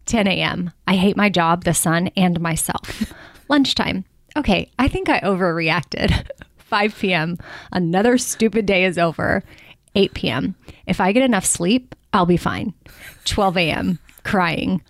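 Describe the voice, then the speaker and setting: A woman in her forties hosting a podcast, chatting casually, laughing often and slowing down when she reads something aloud. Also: on the low side, no room sound, clean studio sound. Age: 40s. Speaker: podcast host.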